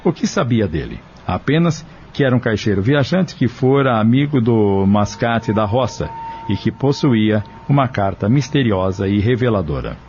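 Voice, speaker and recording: A man's voice: lowish voice, lively intonation, moderate pace, a little mellow, a little hiss or hum. A man reading scripted narration for an audio novel. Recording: dull lo-fi radio drama sound.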